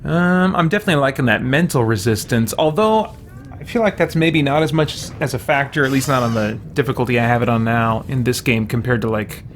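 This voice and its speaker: A man's voice: mid-range voice, fairly quick, slight background noise. A man giving casual video game commentary as a let's play host, hesitantly thinking aloud. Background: game music.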